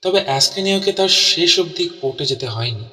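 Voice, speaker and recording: A man playing a detective's earnest companion, narrating a mystery audio story in a measured, dramatic way. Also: fairly high pitch, lively intonation, sharp tone. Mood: suspenseful narration. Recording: distant voice in long hall reverb.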